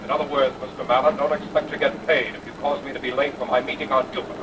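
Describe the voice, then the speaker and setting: A man's voice: medium pitch, little pitch movement, racing, lightly acted. An actor playing an arrogant, impatient rich businessman in an old-time radio drama, curt and pompous. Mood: impatient, haughty. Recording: noisy vintage radio recording, engine drone.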